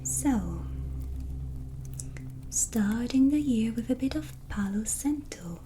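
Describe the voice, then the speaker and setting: A woman playing a gentle, calm witch hostess in a soft-spoken, whispered ASMR roleplay, soothing and intimate as if speaking to a guest. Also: a little high, soft background music. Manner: slow, soothing, intimate, addressing the listener as guest. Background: soft ambience.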